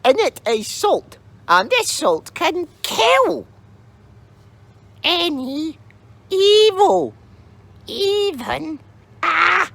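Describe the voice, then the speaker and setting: Super high wobbly granny, moaning and whimpering all over the place. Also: female voice, sing-song, extremely slow, gravelly, long pauses, a bit bright, no room sound, exaggerated voice. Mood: fretful. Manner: wailing, whimpering sighs and moans.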